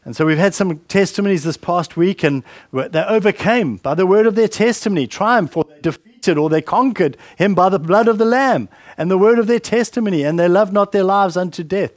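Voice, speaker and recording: A man's voice: sits high, fairly quick, no room sound. A man preaching a sermon at church, rambling and conversational with many fillers and asides. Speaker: pastor. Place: church hall. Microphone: handheld mic with a weak battery.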